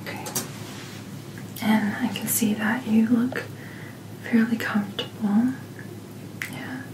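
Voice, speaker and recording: A woman performs an ASMR doctor roleplay in a soft, breathy near-whisper. She takes her time, speaking very slowly with a gentle, reassuring bedside manner. The room is audibly echoey, with clear noise in the background. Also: on the high side, level tone.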